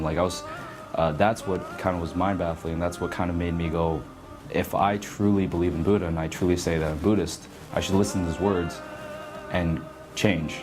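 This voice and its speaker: A man telling a personal story casually in an interview, halting as he searches for words. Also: deep voice. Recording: music playing behind the voice.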